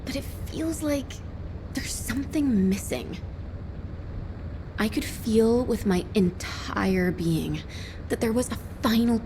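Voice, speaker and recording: A woman's voice: on the high side, background noise. A woman voicing a free-spirited young female musician who is dreamy and sassy, talking about music and feelings. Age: young adult. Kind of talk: video game cutscene voice acting. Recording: echoey with music behind.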